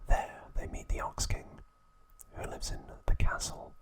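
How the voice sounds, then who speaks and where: A man narrating scripted trivia as an ASMR host in a soft, breathy, unhurried whisper.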